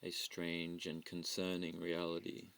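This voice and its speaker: Very slow, low-pitched solemn man. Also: monotone, creaky, fairly bright tone, slight background noise. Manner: solemn, deliberate short declarative phrases. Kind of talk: dramatic spiritual monologue.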